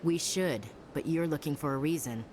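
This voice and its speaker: An actress playing a tough, scornful soldier in a video game, curt and sardonic. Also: female voice, low-pitched, moderate pace, a little mellow, natural voice. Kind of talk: video game dialogue acting. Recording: clear ambient noise behind.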